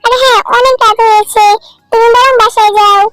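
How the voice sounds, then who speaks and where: A woman's voice: very high voice, fairly even intonation, fairly quick, fairly bright tone, dry recording, soft background music, pitched above the performer's own voice. A woman voicing a caring, firm female bird wife in a children's cartoon, coaxing and insistent. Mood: resigned, insistent.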